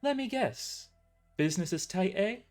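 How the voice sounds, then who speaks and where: Sarcastic tough young waitress, mocking and lively, as a man voices her in a story reading. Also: high-pitched, moderate pace, faint music behind, pitched above the performer's own voice.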